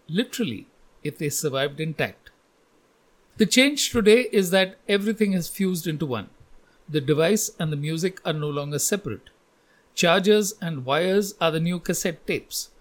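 A man hosting a podcast and reading scripted narration. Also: fairly high pitch. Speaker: podcast host.

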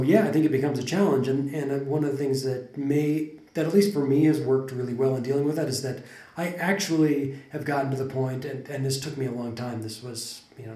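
A man's voice: medium pitch, warm tone. Thoughtful man in a roomy space. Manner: thoughtful, rambling, many restarts and fillers. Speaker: podcast co-host. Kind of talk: casual conversation. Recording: roomy echo.

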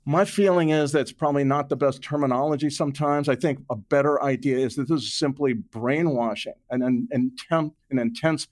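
A man with a mid-pitched voice talks as an interview guest. He explains things off the cuff in a halting way, doubling back on words and restarting phrases as he works through his thought. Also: darkish tone. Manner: halting, repeats and restarts phrases while reasoning aloud. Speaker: interview guest, author and journalist. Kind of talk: unscripted explanatory interview talk.

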